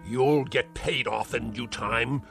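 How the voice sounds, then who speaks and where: An actor playing a spiteful, cruel old uncle who hurls theatrical insults, in a radio-style drama. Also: male voice, medium pitch, slow, vocal fry, fairly bright tone. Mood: scornful. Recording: music score behind.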